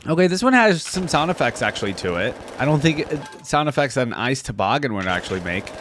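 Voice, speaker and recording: A man's voice: mid-range voice, sing-song, fast talker, a bit bright, a little hiss or hum. A man giving casual, off-the-cuff reaction commentary on video game skins as a video host.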